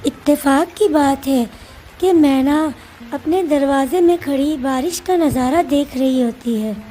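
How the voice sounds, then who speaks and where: Female narrator, children's story, unhurried pace, mellow tone, scripted delivery, faint music. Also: high voice, low-quality recording.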